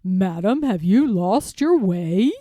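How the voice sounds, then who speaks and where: A woman voicing a sly, self-assured gentleman fox from a storybook, speaking in formal, drawn-out, over-polite phrases. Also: mid-range voice, expressive, no room sound, clean studio sound. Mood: suave curiosity. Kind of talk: storybook character voice.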